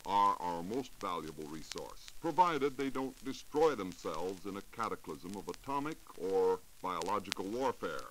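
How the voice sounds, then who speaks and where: Low-voiced American man, animated vintage radio report. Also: normal speed, hardly any pauses, rough recording. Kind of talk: scripted 1940s radio science report. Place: radio studio. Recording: hissy 1940s radio recording.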